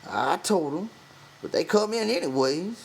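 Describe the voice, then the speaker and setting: A man's voice: high-pitched, lively intonation, unhurried, vocal fry, slightly rough, pitched above the performer's own voice, full character voice. A man voicing a withdrawn, proud, bitter stable hand in an audiobook, with defensive, rural vernacular speech. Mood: dull, resigned. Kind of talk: audiobook character voice.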